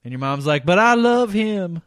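Sardonic podcast co-host, dark humor. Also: male voice, sits high, animated, moderate pace, no echo, clean studio sound. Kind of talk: crude casual podcast banter.

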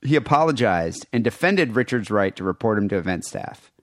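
Brief: male podcast co-host, loud nasal voice, argumentative, dry close sound. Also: lowish voice, big pitch swings, fairly quick, no echo. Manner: argumentative, rambling with many restarts. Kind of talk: casual chat.

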